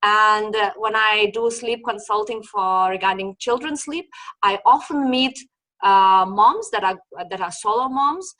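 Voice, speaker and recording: Bright-voiced Lithuanian-accented woman host. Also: medium pitch, measured pace, poor audio. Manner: chatty, searching for words with fillers and repeats. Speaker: host and children's sleep consultant. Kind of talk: casual conversational interview.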